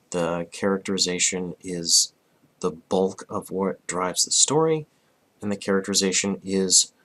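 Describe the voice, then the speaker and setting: A man in his thirties, hoarse from a cold, reviewing a comic casually and rambling with restarts. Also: low voice, a bit bright. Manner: rambling, frequent restarts and throat clearing.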